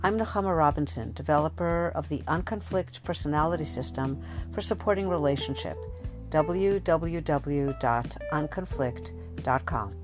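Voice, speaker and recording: A woman hosting a podcast, asking long, measured questions that search for the right words. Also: deep voice, animated, loud music behind the voice. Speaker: podcast host. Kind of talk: interview questions.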